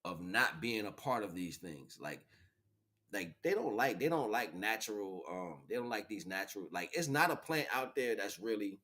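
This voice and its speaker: A man in his forties with a fairly low voice hosts an informal livestream. He rambles in slangy speech, circling back over his phrases and often checking that the listener follows. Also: expressive, moderate pace, sharp tone. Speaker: livestream host.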